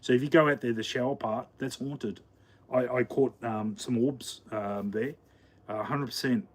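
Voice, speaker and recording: An Australian man chats live to his viewers from his home kitchen while he cooks dinner. He rambles along in a relaxed, unscripted way, full of ums, uhs and restarts, and his voice sits at an ordinary middle pitch. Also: moderate pace. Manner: rambling, chatty, many fillers and restarts. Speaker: livestream host. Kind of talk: casual live chat while cooking.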